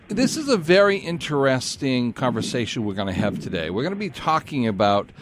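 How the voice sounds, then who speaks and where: A man hosting an interview show, introducing guests and asking them questions in a lively, sing-song way. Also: medium pitch, slight background noise. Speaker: radio show host. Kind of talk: hosted business interview.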